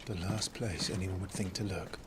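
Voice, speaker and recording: A man's voice: deep voice, fairly even intonation, moderate pace, noisy background, close to an everyday voice. A man playing a guarded, earnest young warrior in a video game. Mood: wary, puzzled. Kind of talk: video game cutscene acting.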